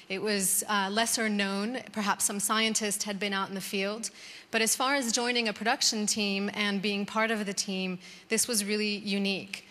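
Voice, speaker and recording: Bright-voiced American woman in a big hall. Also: medium pitch. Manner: warm conversational, many "um"s and restarts. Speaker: conference speaker. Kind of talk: conference talk. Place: large conference hall. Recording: reverberant hall.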